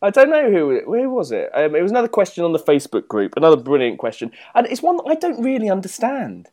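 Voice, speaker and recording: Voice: mid-range voice, wildly swooping pitch, quick pace, mellow. A co-host in casual podcast chat, giggling often and asking squeamish questions.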